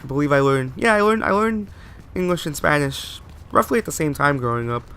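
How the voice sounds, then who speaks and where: A man's voice: fairly high pitch, animated, a little fast, bright, forceful tone, smooth. A man giving post-recorded commentary over gameplay, chatty and rambling, often asking viewers questions. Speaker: let's play host.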